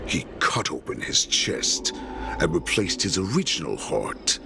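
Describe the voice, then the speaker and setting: An actor playing an ancient, sly, captive wolfish warlord in a game, speaking with slow theatrical menace and breathy drops toward a whisper. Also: male voice, sits low, huge pitch swings, background noise, full character voice. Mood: storytelling, ominous. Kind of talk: dramatic game cutscene monologue.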